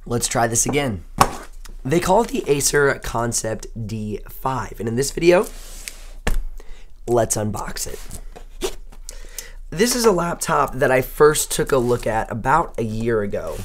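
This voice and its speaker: Hoarse young male tech reviewer, mid-range voice, chatty and upbeat while unboxing. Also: mid-range voice, a little hiss or hum. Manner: chatty, upbeat, thinks aloud while handling gear. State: hoarse, losing his voice. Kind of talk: casual unboxing talk.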